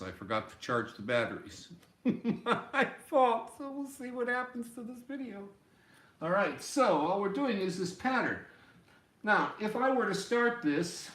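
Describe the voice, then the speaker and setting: A man in his sixties giving an informal music lesson, explaining deliberately and step by step in a small room. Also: high voice, fairly bright tone. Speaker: music teacher. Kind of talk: informal instructional explanation at a whiteboard. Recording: roomy, echoey home room sound.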